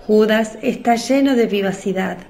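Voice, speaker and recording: A woman's voice: mid-range voice, moderate pace, vocal fry, darkish tone. A female narrator tells a religious story for a radio play. Her voice sits in a middle register, and she reads from a script.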